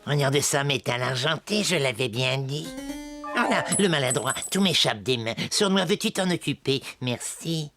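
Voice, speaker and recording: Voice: mid-range voice, lively intonation, moderate pace, lightly acted. An actor voicing a sly, mischievous goblin troublemaker in a children's cartoon, mock-polite and gleeful. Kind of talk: French children's cartoon dub acting. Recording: loud music behind.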